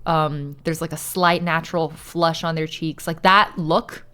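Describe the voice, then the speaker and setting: A woman's voice: low voice, steady tone, unhurried, fairly bright tone, clean tone. A woman, a Shanghainese speaker, chatting casually while she crafts on stream. She explains things at length, with lots of "like" and some casual swearing.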